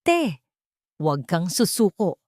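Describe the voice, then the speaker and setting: A woman's voice: on the low side, very animated, slow pace, a little mellow, dry recording, studio quality. Woman acting a distraught, injured heroine. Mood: desperate. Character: distraught, injured pregnant woman pleading for her lover.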